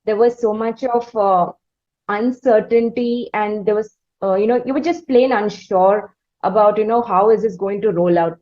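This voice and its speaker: A woman with an Indian accent hosting an interview, building up wordy, halting questions in a conversational way. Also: mid-range voice, warm tone. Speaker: interviewer, host. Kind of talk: conversational long-form interviewing. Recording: slightly dull, lo-fi audio.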